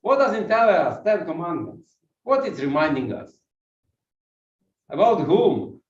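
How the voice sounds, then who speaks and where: A man with a Ukrainian accent preaching and pacing as he talks, firing questions at the people in front of him. His voice sits fairly high and sounds dull and distant. It echoes around a church. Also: very animated, a little slow. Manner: walks while preaching, asks the congregation questions. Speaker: guest pastor. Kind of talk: sermon with audience questions. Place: church hall.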